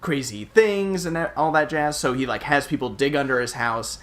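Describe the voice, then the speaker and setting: A man's voice: mid-range voice, moderate pace, fairly bright tone. A man co-hosting a podcast, chatting casually about video games. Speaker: podcast co-host.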